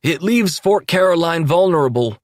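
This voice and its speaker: A man's voice: a little high, lively intonation, moderate pace, no room sound, clean studio sound, voice pushed up, lightly acted. A man voicing a worried member of an armed group speaking up hesitantly in an audiobook.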